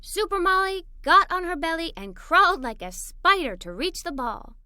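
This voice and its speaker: A woman plays an eager, imaginative little girl narrating her own storybook adventures. The voice is high and bright, with animated, swooping intonation. The delivery is expressive and enthusiastic, made for young listeners. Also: moderate pace, no echo, studio quality, full character voice. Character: Molly, excited adventurous little girl telling her day. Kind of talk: children's read-aloud story narration.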